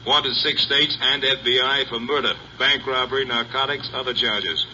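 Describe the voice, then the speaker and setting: Piercing, clipped police dispatcher. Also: male voice, mid-range voice, fairly even intonation, moderate pace, pushed and bright. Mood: official. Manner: clipped, formal. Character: terse, official male police radio dispatcher. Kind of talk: old-time radio acting, bulletin read-out. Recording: dull, noisy old radio recording.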